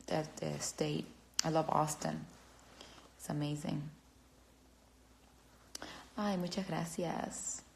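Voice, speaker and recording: A woman from Cuba with a Cuban Spanish accent, chatting casually on a phone livestream, halting and gushing as she answers fans. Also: low-pitched, fairly even intonation, vocal fry, a little hiss or hum, poor audio. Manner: halting, searching for words, gushing.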